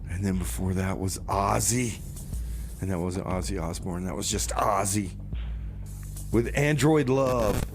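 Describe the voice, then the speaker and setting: A man hosting a community radio music show in a casual, halting style with comic banter, often repeating his words. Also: lowish voice.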